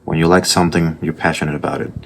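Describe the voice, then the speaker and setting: A man with a strong Puerto Rican accent and an extremely deep voice talks in a casual, meandering way, circling back and restarting his sentences. He sounds like an artist chatting over his own drawing process, recorded with a faint hiss of background noise. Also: little pitch movement, racing, gravelly. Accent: Puerto Rican Spanish. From Puerto Rico. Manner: rambling storytelling with restarts and asides.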